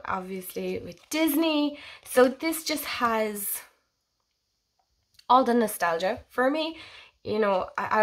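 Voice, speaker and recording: A young woman with a hoarse voice from a head cold, chatting casually and gushingly as she shows her tarot decks on her own channel. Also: medium pitch, fairly bright tone. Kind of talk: casual show-and-tell vlog.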